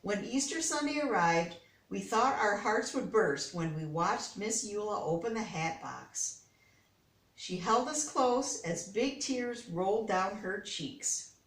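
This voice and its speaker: A woman reading a children's story aloud, pausing to explain words and pictures as she goes. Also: mid-range voice, animated. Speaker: storybook reader. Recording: echoey room, distant microphone.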